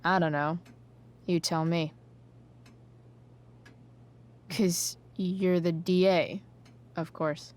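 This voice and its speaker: A woman's voice: fairly low pitch, fairly even intonation, slow, darkish tone. A woman playing a rebellious, guarded American teenage girl in a video game, with a dry, sarcastic, slangy delivery. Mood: dry, needling. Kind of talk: naturalistic video game acting.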